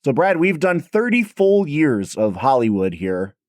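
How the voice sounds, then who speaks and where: A man in his thirties hosting a podcast in casual chat, his voice hoarse and raspy, telling stories in a chatty, self-deprecating way. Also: fairly high pitch, sing-song, unhurried, no room sound, clean studio sound. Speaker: podcast host.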